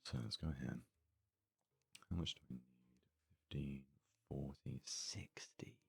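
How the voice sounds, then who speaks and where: A man giving soft-spoken ASMR game commentary, murmuring his thoughts aloud in a breathy voice that often slips into a whisper. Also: very deep voice, dry recording. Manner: murmured, unhurried thinking aloud. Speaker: let's play host.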